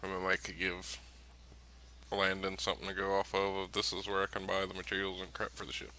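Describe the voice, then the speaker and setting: Male tabletop player, low and bright, casual discussion, slight background noise. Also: monotone, brisk, rough recording.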